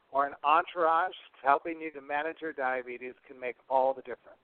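A man's voice: fairly high pitch, no room sound, narrow, tinny audio. A man hosting a podcast in an upbeat, scripted presenting style. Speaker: podcast host.

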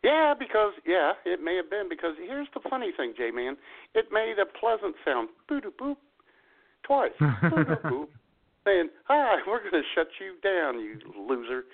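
Male comedy radio co-host, casual banter, folksy and exasperated, very animated intonation, narrow phone-like audio. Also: sits high, a little slow.

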